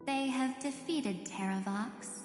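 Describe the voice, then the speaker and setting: An actress playing an enigmatic, coldly menacing female entity in a fantasy game, cool and deliberate in her delivery. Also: sits high. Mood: detached, calculating. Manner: deliberate, cool, quietly threatening. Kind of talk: scripted fantasy game voice acting. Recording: echoey hall reverb with music behind.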